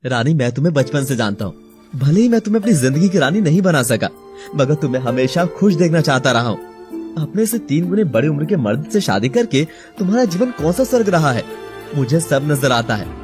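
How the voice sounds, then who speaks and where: A man's voice: fairly high pitch, very animated, a little fast, over music. A man acting a remorseful young married man in an audio story, speaking in an anguished, imploring, dramatic way. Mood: earnest. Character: remorseful married young man pleading with his childhood love.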